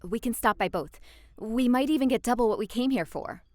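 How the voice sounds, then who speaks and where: An actress playing a tough, confident young woman on a mission in a visual novel game, speaking in an assertive, brisk manner. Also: fairly high pitch, dry recording, clean studio sound, natural voice. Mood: eager.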